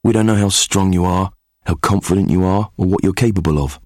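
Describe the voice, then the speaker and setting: A man narrating a recruitment advert in a measured, earnest way. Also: deep voice, a little mellow, no room sound, clean studio sound. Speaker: advert voice-over.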